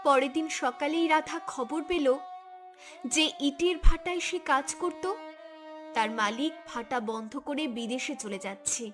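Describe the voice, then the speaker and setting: A woman with a notably high voice narrates a cartoon story. Her delivery is scripted and dramatic, and music plays clearly behind her. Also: measured pace.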